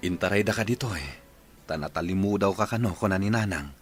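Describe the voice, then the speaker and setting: A man playing a caring, earnest young husband in a radio drama, speaking gently and solicitously with an Ilocano accent. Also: sits low, brisk, faint music behind, close to an everyday voice. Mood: tender, attentive.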